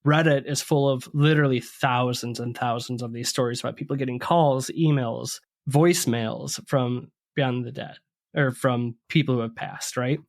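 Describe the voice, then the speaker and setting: A man with a mid-pitched voice co-hosts a spooky podcast. He reads and retells stories conversationally, ad-libbing around his notes. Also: fairly even intonation, moderate pace, gravelly. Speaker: podcast co-host.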